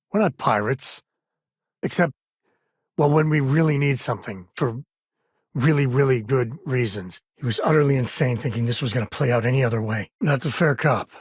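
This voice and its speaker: Man playing a husky, rugged space explorer. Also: mid-range voice, dry recording, clean studio sound, lightly acted. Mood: casual, wry. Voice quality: husky, gravelly rasp. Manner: folksy, colloquial, earnest delivery. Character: rugged, good-hearted male space explorer and devoted father. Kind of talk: video game voice acting.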